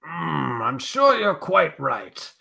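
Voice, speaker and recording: A man playing a fretful, excitable gentleman sidekick in a comic radio play parody, rambling and hesitant, with a raspy voice. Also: a little high, takes it slowly, exaggerated voice. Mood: dithering. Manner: rambling, hesitant, swooping exclamations.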